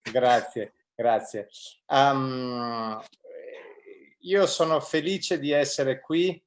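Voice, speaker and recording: Slow, halting Italian-speaking man. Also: mid-range voice, steady tone, slight background noise. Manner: halting, many pauses and fillers. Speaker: guest. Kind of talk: live online panel conversation.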